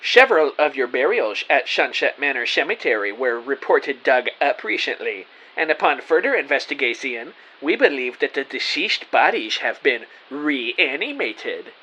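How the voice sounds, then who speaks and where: A man playing a self-important, excitable private investigator, narrating his case theatrically in an audio drama. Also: medium pitch, normal speed, few pauses, breathy edge, full character voice, low-quality recording. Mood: businesslike. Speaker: narrator and lead actor. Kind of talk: first-person detective audio drama narration.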